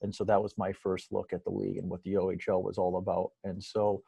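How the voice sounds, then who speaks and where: A man's voice: deep voice, monotone, fast, creaky, warm tone, breathy edge, no room sound. An American man from Metro Detroit talking casually as a guest in a podcast interview. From Metro Detroit, USA. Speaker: podcast guest, sports broadcaster.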